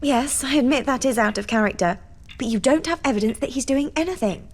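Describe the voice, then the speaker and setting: A sensible British schoolgirl witch, precise and matter-of-fact, with a fairly high voice. She sounds like a cautioning friend in a video game. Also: fairly quick. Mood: sceptical, advising. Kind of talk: video game character acting.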